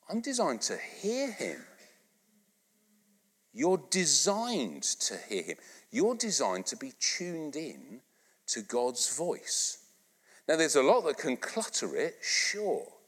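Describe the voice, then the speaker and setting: A middle-aged English man preaching a sermon to a live congregation, speaking conversationally and coaxing the listeners to repeat phrases back. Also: on the high side. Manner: animated, conversational, asks the congregation to repeat lines. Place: church hall, live congregation. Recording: long hall reverb.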